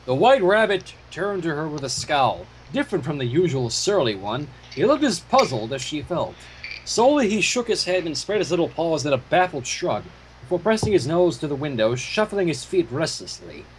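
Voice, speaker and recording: A man reading fan fiction aloud in an expressive way, with casual asides. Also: fairly high pitch, normal speed. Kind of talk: story narration with casual commentary. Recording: home microphone with clear noise.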